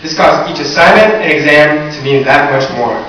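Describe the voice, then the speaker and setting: A teenage young man giving a graduation speech, earnest with light humor. His voice sits a little high for a man. It is recorded from far back in a very echoey hall, with a faint hiss. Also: moderate pace, fairly bright tone. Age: teens. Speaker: valedictorian. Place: large echoey hall or gym. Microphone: recorded from far off in the audience.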